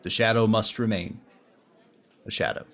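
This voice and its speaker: Casting: male actor as composed gentleman sleuth, lowish voice, grave radio-drama delivery. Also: fairly even intonation, moderate pace. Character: grave, composed gentleman secretly a mysterious crime fighter. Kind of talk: old-time radio drama acting.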